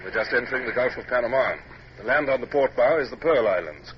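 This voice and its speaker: An actor playing a stern, reserved but humane British naval captain, formal and grave, in an old radio drama. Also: male voice, mid-range voice, expressive, fast talker, lightly acted. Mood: formal, firm. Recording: muffled, noisy old shortwave radio drama.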